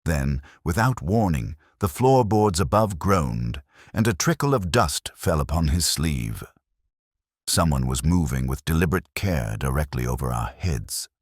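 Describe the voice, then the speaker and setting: Man, very low voice, atmospheric mystery narration, measured storytelling, dry studio-like sound. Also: sing-song, no room sound. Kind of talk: scripted narration of a detective story.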